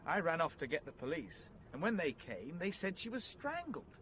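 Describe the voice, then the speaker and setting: Flustered posh british gent on the run, old radio play, high animated voice, bit noisy. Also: male voice, moderate pace. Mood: troubled, earnest. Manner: plummy, earnest, flustered. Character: anxious, well-bred gentleman on the run. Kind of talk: vintage BBC radio drama acting.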